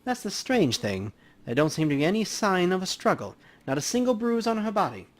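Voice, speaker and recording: Casting: man playing a talkative, folksy game coroner, higher-pitched and very animated in delivery. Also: a little fast. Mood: chatty, upbeat. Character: chatty, folksy male coroner at a crime scene. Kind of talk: acting out video game dialogue.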